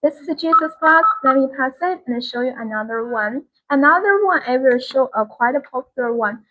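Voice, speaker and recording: A woman's voice: high voice, animated, brisk, pushed and bright, rough recording. A woman giving a live-stream sales demonstration in an instructional, repetitive way. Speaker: live-stream product seller.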